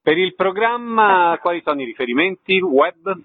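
A man's voice: sits high, animated, normal speed, no echo. A man hosting a radio interview, asking questions and giving announcements. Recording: thin phone-like radio audio.

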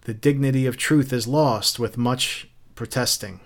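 A man's voice: medium pitch, normal speed, lightly acted. A man voicing a smug, taunting fire captain in a story, mocking and theatrical as he spouts literary quotations. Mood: gloating, taunting. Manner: theatrical, mocking, quote-laden speech.

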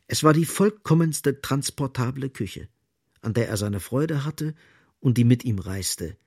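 A man narrating a literary radio play in an observant, expressive manner, with a slightly husky voice. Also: mid-range voice, unhurried. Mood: observant, measured.

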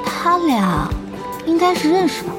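A woman's voice: very high-pitched, big pitch swings, measured pace, music in the background, full character voice. A woman playing a sweet, easygoing young friend in an audio drama. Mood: sweet, placating.

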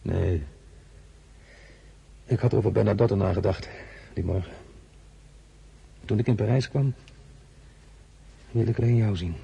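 An actor playing a commanding, weary emperor in a radio drama, halting and imperious, with a nostalgic streak. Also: male voice, low-pitched, vocal fry, warm tone, slightly rough, muffled recording, exaggerated voice. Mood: wistful, pleading. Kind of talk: dramatic radio-play acting.

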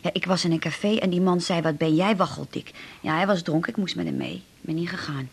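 Woman in her forties, low-pitched, breathy-husky, scatterbrained, self-deprecating, colloquial rambler, radio drama. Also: fast talker. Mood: insecure, fretful. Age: 40s. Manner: rambling, colloquial, tag questions. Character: scatterbrained, lonely, self-deprecating chatty woman of nearly forty-four. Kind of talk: naturalistic radio drama dialogue.

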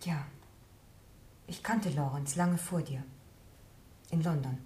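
An actress playing a devoted, sincere wife in a radio drama, earnest and pleading. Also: female voice, low voice, fairly even intonation, slow, dark tone. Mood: confessing. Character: devoted wife, confessing, soft-hearted and sincere.

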